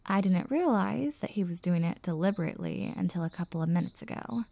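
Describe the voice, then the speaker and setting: A woman's voice: on the low side, lively intonation, a little fast, gravelly, dark tone, no echo. A woman playing an exasperated single young woman venting about a bad date in an intimate audio roleplay, with sarcastic asides. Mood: bitter, venting. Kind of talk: roleplay audio drama, intimate conversational monologue.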